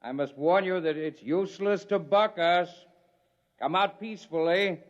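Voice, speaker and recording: A man playing a calm, menacing male scientist villain in a 1950s radio drama, old dull recording. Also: sits high, moderate pace, close to an everyday voice.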